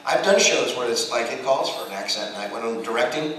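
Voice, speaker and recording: A man's voice: medium pitch, lively intonation, quick pace, a bit bright. American male panelist, casual and rambling, jokey storyteller, picked up from far off in a big echoing room. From Texas, United States. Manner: rambling, jokey, acts out quoted remarks. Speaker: voice actor and director on a convention panel. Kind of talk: casual panel talk with anecdotes. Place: large room at a fan convention. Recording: very echoey hall, distant mic, clear noise.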